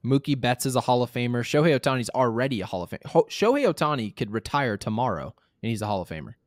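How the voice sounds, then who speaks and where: A man's voice: mid-range voice, lively intonation, quick pace, dry recording, clean studio sound. A man in his thirties co-hosting a casual sports talk show, speaking bluntly and emphatically, often repeating himself to make a point. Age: 30s.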